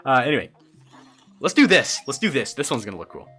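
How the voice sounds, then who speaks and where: A man's voice: medium pitch, wildly swooping pitch, a little fast, bright, forceful tone, slightly rough, faint music behind. A young man from Brazil, a streamer chatting casually and thinking aloud as he answers viewers, often stammering and restarting. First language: Portuguese. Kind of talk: casual livestream chat while building a game creature.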